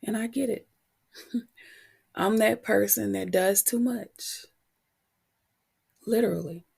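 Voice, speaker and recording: A woman podcast host talking reflectively and candidly on her own, her voice husky and prone to cracking as she gets over a cold. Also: medium pitch, a little mellow. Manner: candid, unhurried, pausing between short phrases. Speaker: podcast host and motivational coach. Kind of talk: reflective solo podcast talk.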